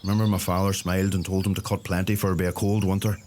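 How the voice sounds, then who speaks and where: An actor playing a young, dry-humoured Donegal farmer in a radio play, understated and colloquial in manner. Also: male voice, deep voice, little pitch movement, very fast, a little mellow, slight background noise, natural voice. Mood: wistful, reminiscing. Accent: Donegal Irish. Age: young adult.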